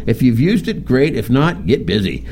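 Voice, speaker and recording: Guy hosting a radio show, voice goes up and down a lot, jokey and kinda halting, bit of noise behind. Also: mid-range voice, sing-song, gravelly, a little mellow. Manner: jokey, halting, repeats himself. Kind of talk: casual on-air banter and guest introduction.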